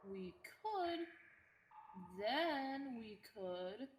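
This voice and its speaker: A woman's voice: high-pitched, very animated, extremely slow, heavily acted. Echoey, confident young cave guide, played by a woman. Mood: persuasive. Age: teenager. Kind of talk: radio theatre acting. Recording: echoey room, faint noise.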